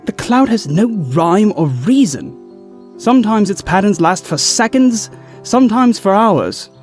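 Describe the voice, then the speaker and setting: A dignified village watchman, acted by a man, speaks in a fairly high male voice with formal, precise phrasing. He is a weary, skeptical observer in a fantasy audio drama, with music behind him. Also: very animated, unhurried, a little mellow. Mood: exasperated, intense.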